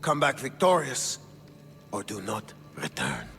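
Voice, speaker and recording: A man's voice: on the low side, huge pitch swings, measured pace, creaky, exaggerated voice. A man acting a haughty, scornful Spartan king in a video game, commanding and sardonic, with a declamatory delivery. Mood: stern, challenging. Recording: reverberant stone hall, music underneath.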